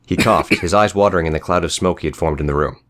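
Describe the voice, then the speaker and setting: A man's voice: low voice, quick pace, a bit bright. A man reading scripted fiction as an audiobook narrator.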